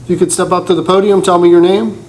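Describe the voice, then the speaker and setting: A man's voice: high voice, level tone, fast talker, a little mellow. A man speaking as a judge from the bench in a courtroom, plainspoken and blunt. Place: indoor courtroom. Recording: noisy courtroom recording.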